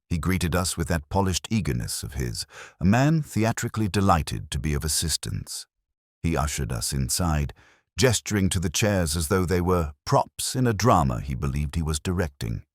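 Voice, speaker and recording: A man playing a brooding, world-weary police inspector, narrating a mystery in measured, literary, ruminative first person. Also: a bass voice, no room sound, clean studio sound, exaggerated voice.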